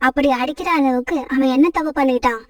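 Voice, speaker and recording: A woman's voice: sits high, quick pace, dry recording, studio quality, distant mic, natural voice. A woman voicing a brave, protective mother sparrow in a children's cartoon story, firm and motherly. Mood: defiant.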